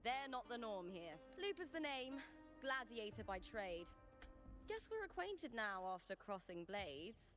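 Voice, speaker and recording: A woman's voice: fairly high pitch, big pitch swings, unhurried. A woman voicing a fiery, proud young female gladiator in a video game, blunt and brash with emphatic delivery. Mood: friendly, confident. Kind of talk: dramatic video game voice acting. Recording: game audio with music underneath.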